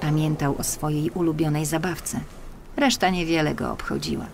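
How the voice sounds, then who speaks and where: A woman reading a scripted children's story as an audiobook narrator, with expressive, deliberate phrasing. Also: low-pitched, vocal fry, slight background noise. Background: music.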